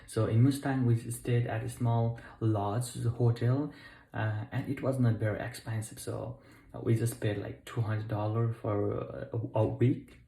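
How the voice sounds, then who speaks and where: A young man from Nepal talking to camera about his trip home, halting often with fillers and self-corrections. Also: medium pitch, fairly even intonation, vocal fry, warm tone. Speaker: travel vlogger. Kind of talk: unscripted talk to camera. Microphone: camera mic. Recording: echoey room.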